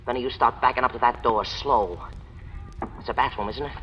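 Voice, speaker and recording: A man's voice: medium pitch, a little fast, close to an everyday voice. A man playing a menacing, gloating escaped convict, sneering mock-sweet threats in a 1940s radio crime drama. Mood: gloating menace. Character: menacing, gloating male escaped-convict gangster bent on revenge. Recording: echoey, muffled, noisy old radio recording.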